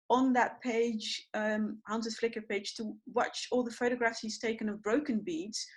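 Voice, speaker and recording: A woman's voice: on the high side, low-quality recording. A woman hosting an online symposium over a video call, chatty and full of small fillers. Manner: chatty, storytelling, many fillers. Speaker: symposium host.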